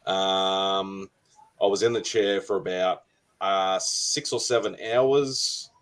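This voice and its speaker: A man's voice: low voice, fairly even intonation, slow pace, a bit bright. A man in a casual online group chat about comics, talking in a laid-back way and laughing things off. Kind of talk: casual video call chat. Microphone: video call.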